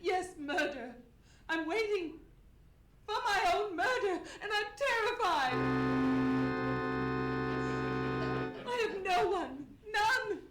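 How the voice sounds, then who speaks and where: A woman playing a frightened young gentlewoman in a live radio melodrama, formal and pleading, recorded on a theatre stage. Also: very high-pitched, expressive, slow, echoey room, soft background music, full character voice. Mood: terrified, tearful. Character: frightened, distraught young gentlewoman seeking help. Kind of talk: live old-time radio melodrama acting.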